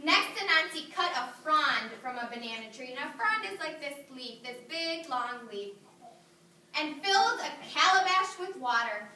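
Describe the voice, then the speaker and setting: A woman teaching kindergarten reads a story aloud and keeps stopping to ask the children questions and cheer their answers. Her voice is high and bright with big swings in pitch. It is picked up from a distance in an echoey classroom with faint background hum. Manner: animated, praising, coaxing young children. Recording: roomy classroom audio from a distance.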